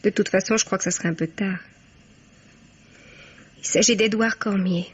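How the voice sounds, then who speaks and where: An actress playing a frank, cheeky young student daughter in a 1950s French radio mystery. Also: female voice, mid-range voice, fairly even intonation, moderate pace, breathy edge, natural voice. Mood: bitter, defiant. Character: brash, wry young student daughter, freshly jilted. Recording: dull vintage radio recording.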